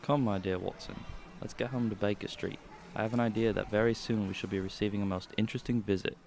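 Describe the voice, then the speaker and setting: Man acting a creaky, dry-witted gentleman detective. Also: lowish voice, steady tone, quick pace, background noise. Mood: wry. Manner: dry, clipped, wry. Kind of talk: radio drama acting.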